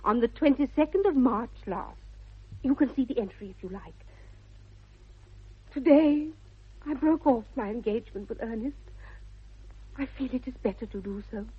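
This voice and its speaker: A dull vintage radio recording of a young Victorian lady with a British accent. Her voice is very high and a little husky. She speaks precisely and with great earnestness, dreamy and headstrong, in the manner of a 1960s comedy of manners. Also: lively intonation, normal speed, pauses often. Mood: matter-of-fact, prim. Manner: precise, earnest, affected delivery.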